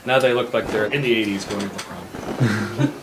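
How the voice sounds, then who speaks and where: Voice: medium pitch, normal speed, far from the mic, background noise. A tabletop game player casually narrating a character's actions, recorded roomy and off the mic.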